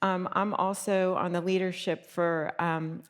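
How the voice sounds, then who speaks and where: A woman executive speaking as a panelist at a conference, chatty, with many fillers, rattling off lists quickly. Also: on the low side, flat delivery. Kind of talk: panel discussion. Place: conference hall. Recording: reverberant conference hall.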